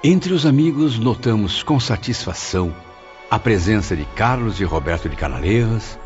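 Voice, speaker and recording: Solemn male spirit memoirist played by an actor, deep voice, literary narration, slightly muffled, light music. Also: expressive, normal speed, darkish tone, natural voice. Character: humble, reverent male spirit recounting his memories. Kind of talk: scripted first-person dramatized narration.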